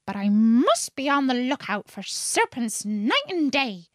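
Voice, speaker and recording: A woman's voice: sits high, sing-song, unhurried, no echo, studio quality. A woman voicing an agitated, suspicious female pigeon from a storybook, indignant and full of complaint. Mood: weary grumbling. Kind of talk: storybook character reading.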